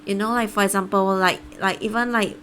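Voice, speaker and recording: A woman live-streaming a game, chatting casually and haltingly as she thinks aloud. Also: mid-range voice, fairly even intonation, fairly bright tone, a little hiss or hum.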